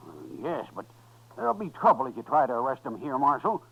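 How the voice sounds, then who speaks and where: A man's voice: mid-range voice, sing-song, fast talker. A man playing a nervous, fussy hotel clerk in a 1950s radio western, flustered and eager to please. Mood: anxious. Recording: dull vintage radio recording.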